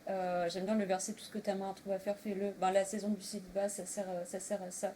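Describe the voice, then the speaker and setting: A woman's voice: mid-range voice, little pitch movement, a little fast, dark tone. A woman of thirty-two from northern France, talking casually as a guest in a discussion. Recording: faintly noisy room recording.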